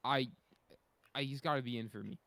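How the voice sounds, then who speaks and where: A man's voice: medium pitch, fairly even intonation, fairly quick, fairly bright tone, no room sound. Man chatting casually on a podcast. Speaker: podcast panelist. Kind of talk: casual opinionated chat.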